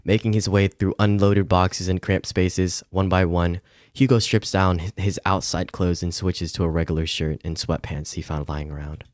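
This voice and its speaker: A man's voice: low voice, steady tone, normal speed, a little mellow, no room sound, studio quality. A man commentating casually to himself as he streams a visual novel and reads game text aloud.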